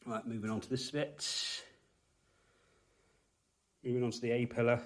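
A man's voice: mid-range voice, steady tone, measured pace. Man doing a dry garage walkaround. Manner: matter-of-fact, dry understated remarks. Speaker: car restorer, channel host. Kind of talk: walkaround commentary while filming. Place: indoor garage workshop.

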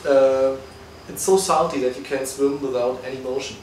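Man from Berlin, thirties, relaxed plain talk, distant camera mic, echoey room. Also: mid-range voice, moderate pace, slight background noise. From Berlin, Germany. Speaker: guest. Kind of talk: casual interview. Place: living room of a guesthouse, indoors. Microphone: far camera.

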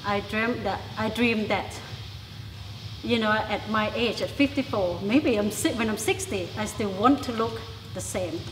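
A woman's voice: mid-range voice, measured pace, soft background music. A confident, chatty woman in her fifties from Thailand, with a Thai accent mixed with English and New York, talking casually to the camera in a large gym. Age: 50s. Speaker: interviewee. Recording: echoey gym with reverb and noise.

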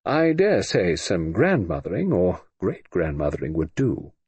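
A husky-voiced man playing a polite, nervous middle-aged bridegroom speaking in earnest, fretful tones. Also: low voice, wildly swooping pitch, a little slow, darkish tone, no echo, heavily acted. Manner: polite, earnest, a little anxious.

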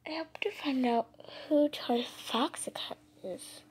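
A woman narrating video game role-play and reading aloud haltingly, often dropping into a whisper. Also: high voice, a little mellow. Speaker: gaming video maker.

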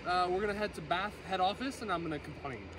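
Male vlogger, young adult, fairly high pitch, informal travel chat, noisy urban outdoor recording. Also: fast talker. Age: 20s or 30s. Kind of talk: casual travel vlog chat. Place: mostly outdoors on city streets. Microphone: handheld phone at arm's length. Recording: noisy street ambience on handheld phone.

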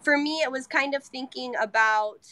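A woman's voice: high voice, normal speed, bright, forceful tone. A young woman from California, a salon owner, chatting casually in an interview over a video call, often acting out what she and her clients say. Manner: chatty, quotes herself and clients. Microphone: webcam on video call.

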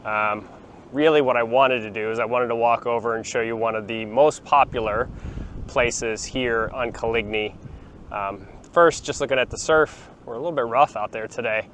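A man's voice: mid-range voice, steady tone, moderate pace, fairly bright tone, a little hiss or hum. A man in his forties giving a chatty walking tour to his phone camera outside by the beach, with plenty of ums and restarts. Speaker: vlogger showing a beach town. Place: outdoors, sunny beachfront plaza and beach. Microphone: phone held at arm's length, small clip-on mic.

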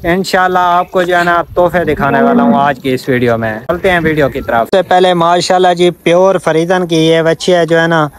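A man's voice: on the high side, steady tone, a little fast, hardly any pauses. A man presents a sales vlog, often repeating filler phrases, recorded outdoors on a phone. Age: 20s. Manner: repetitive verbal filler phrases. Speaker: cattle seller and video presenter. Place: open-air farm yard. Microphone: phone at arm's length.

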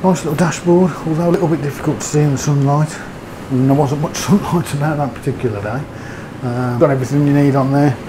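A man's voice: a little high, steady tone, fast, hardly any pauses, noisy background. A man giving a casual, rambling motorbike review, with fillers and hesitations. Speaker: motovlogger.

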